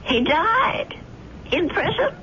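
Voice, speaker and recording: A woman's voice: very high-pitched, sing-song, takes it slowly, sharp tone, full character voice. An actress playing an elderly widowed landlady, troubled, hesitant and kindly, in an old radio drama. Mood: troubled, pleading. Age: older. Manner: hesitant, earnest. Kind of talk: 1970s radio drama acting. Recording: noisy, muffled old radio broadcast recording.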